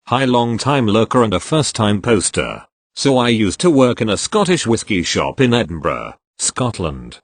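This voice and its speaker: A man reads customer-service stories aloud in a low voice that swings widely in pitch. The sound is close and dry, with no room sound. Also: no room sound. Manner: expressive reader voicing each character in turn. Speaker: narrator reading online posts. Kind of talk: scripted storytelling narration.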